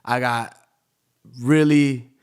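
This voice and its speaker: Smooth-voiced laid-back man. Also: mid-range voice, steady tone, extremely slow. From Tampa, Florida. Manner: laid-back, peppers his talk with "you know". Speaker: podcast guest, DJ. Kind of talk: casual storytelling in a podcast interview.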